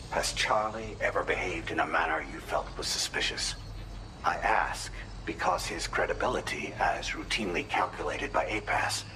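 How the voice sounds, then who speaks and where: Man acting a low-voiced, formal game official. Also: lightly acted. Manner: formal, precise, deliberate. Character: formal, grave male official directing a courier remotely. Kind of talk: video game cutscene dialogue. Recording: clear background noise.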